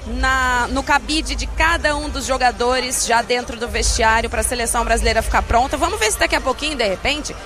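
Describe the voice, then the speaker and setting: A woman's voice: on the high side, music in the background. Female reporter describing a stadium arrival live. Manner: descriptive running commentary, quick lists of names. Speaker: field reporter. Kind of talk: live sports news reporting.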